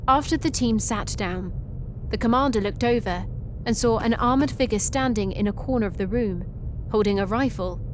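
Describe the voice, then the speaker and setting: A woman narrating a science-fiction story in an even, scripted way. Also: mid-range voice, noisy background.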